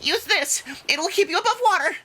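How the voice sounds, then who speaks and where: A woman's voice: very high-pitched, expressive, normal speed, sharp tone, full character voice. A woman playing a timid, anxious male cat alien in a visual novel, polite and easily flustered. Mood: panicked. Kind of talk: visual novel character acting.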